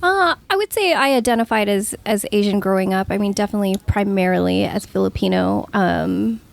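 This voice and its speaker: A woman from the United States answers thoughtfully as a podcast guest. Her voice has a gravelly, fry-heavy texture. She talks in an easy, reflective way. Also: mid-range voice, a little fast. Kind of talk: casual panel chat.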